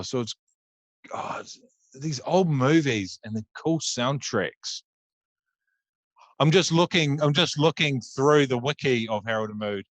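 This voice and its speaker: A man's voice: mid-range voice, no echo. A man in his thirties hosting a casual podcast, joking crudely and facetiously. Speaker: podcast host.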